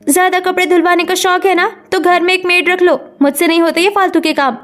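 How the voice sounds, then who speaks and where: A woman's voice: extremely high pitch, flat delivery, brisk. A woman voicing a selfish, defiant young modern wife in a family drama story, snappy and dismissive. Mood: defiant, irritated.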